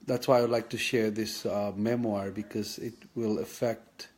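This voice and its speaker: A man, recalling his childhood in a reflective personal vlog, thinking aloud with many pauses and restarts. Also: lowish voice, flat delivery, a little mellow. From Philippines. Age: 50s. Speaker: vlogger sharing his kidney story.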